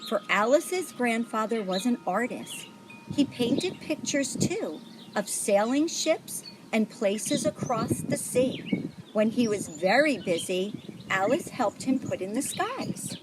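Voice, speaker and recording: A woman reading a picture book aloud to children in a deliberate way, like a volunteer storyteller. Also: fairly high pitch, expressive, very noisy. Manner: deliberate, pauses to point out pictures.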